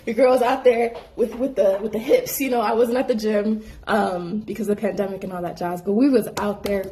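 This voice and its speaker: A woman's voice: medium pitch, fairly quick. A woman hosting her own show, casually telling a personal story in slangy speech full of "like". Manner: slangy, frequent "like" fillers, animated. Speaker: host.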